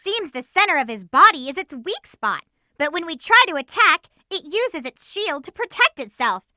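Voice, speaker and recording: A spirited young girl heroine from a cartoon-styled video game. The voice is extremely high and sparkling bright, with lively swings in pitch. The delivery is perky, feisty and emphatic, full of determination. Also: moderate pace, dry recording, studio quality, exaggerated voice. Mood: eager, determined. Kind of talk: video game voice acting, tips and battle shouts.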